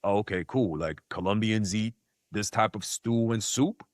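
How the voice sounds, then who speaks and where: A man's voice: fairly low pitch, normal speed, dry recording, clean studio sound. A man chatting casually as an interview guest, slangy and often checking that the listener follows. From born in South Korea, raised in Queens, New York. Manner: slangy, frequent "like" and "you know what I mean". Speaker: podcast guest, content creator.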